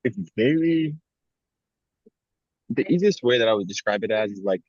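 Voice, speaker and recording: A man's voice: on the low side, animated, normal speed, a little mellow, no echo, muffled recording. A young man co-hosting a podcast, talking in a casual, rambling and reflective way with frequent "like" fillers. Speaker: podcast co-host.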